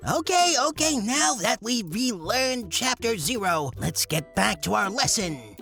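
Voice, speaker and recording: A woman's voice: on the high side, big pitch swings, unhurried, gravelly, fairly bright tone, exaggerated voice. A woman voicing a quirky, playful chemistry teacher in an anime dub, lecturing over music. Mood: brisk.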